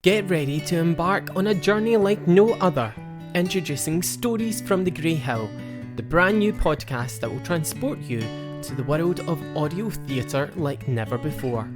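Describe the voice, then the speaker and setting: Podcast announcer reading a scripted intro, medium pitch, guitar music behind. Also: lively intonation, normal speed. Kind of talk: scripted promotional narration.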